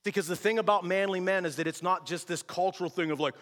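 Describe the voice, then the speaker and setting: Male pastor, bright and forceful, emphatic sermon delivery in a church with a long reverb. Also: quick pace. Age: adult with a wife and kids. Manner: emphatic preaching, swings from shouting to low and quiet. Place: church sanctuary. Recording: long reverb of a large hall.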